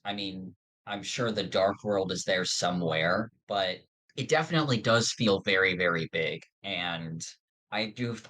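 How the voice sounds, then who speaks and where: Low voiced podcast host just chatting like a fan, kinda rambly and informal. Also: bright, forceful tone, rough recording. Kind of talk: casual fan discussion of an audio drama.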